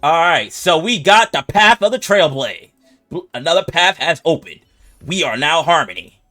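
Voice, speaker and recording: A man's voice: a little high, very animated, normal speed, sharp tone. A man doing casual live gameplay commentary as a streamer, slangy and profane, with music behind him. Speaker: game streamer.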